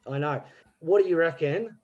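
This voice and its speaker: A man's voice: fairly high pitch, moderate pace, muffled recording, lightly acted. A man doing a put-on British accent as a scheming petty crook in an improv scene, rambling with slang and restarts. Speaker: improv player.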